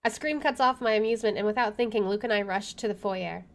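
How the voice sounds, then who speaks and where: A woman's voice: medium pitch, quick pace. A woman game streamer doing a visual novel playthrough, moving between reading narration and casual commentary.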